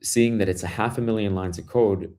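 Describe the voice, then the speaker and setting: Brooklyn-accented man, low register, guest founder, relaxed storyteller who restarts his sentences. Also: fairly quick. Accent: Brooklyn New York. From Brooklyn, New York, US. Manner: loose storytelling with many fillers and restarts. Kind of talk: casual interview conversation.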